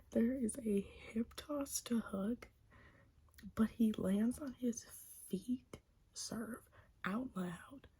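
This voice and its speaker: Young woman, breathy whisper, slow, mellow, giggly, gushing fan recap. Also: medium pitch. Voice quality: soft whisper, frequent giggles. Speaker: wrestling recap host. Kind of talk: whispered ASMR wrestling recap.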